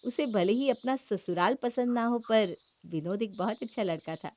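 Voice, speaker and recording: A woman's voice: a little high, normal speed, a little mellow. A woman reading scripted narration for a moral story.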